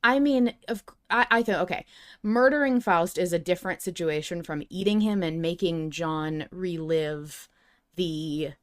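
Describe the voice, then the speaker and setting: Low-pitched woman chatting on a podcast. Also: a little slow. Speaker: podcast co-host. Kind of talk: casual podcast discussion.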